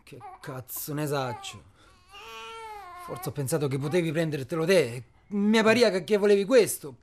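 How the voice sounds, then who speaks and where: A man's voice: fairly high pitch, moderate pace, crowd noise, close to an everyday voice. A man playing a coarse, pragmatic, pushy henchman in a radio drama, with a southern Italian accent and colloquial speech. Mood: pressing. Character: rough, pragmatic henchman called Toto, cynical and pushy.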